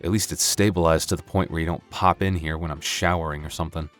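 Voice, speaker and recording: Low-voiced man chatting casually to listeners. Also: steady tone, quick pace, soft background music. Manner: rambling, self-deprecating, jokey. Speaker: audio roleplay creator. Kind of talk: casual outro chat to listeners.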